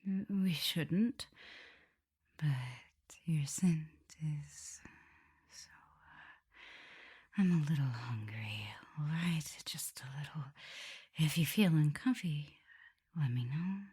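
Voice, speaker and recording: A woman playing a tender, guilt-ridden vampire mistress in an ASMR roleplay, speaking softly and haltingly, often in whispers. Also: sits low, expressive, exaggerated voice. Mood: hungry, hesitant. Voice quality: soft, breathy, often whispering. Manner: halting, hesitant delivery. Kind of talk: ASMR roleplay acting, intimate address to listener.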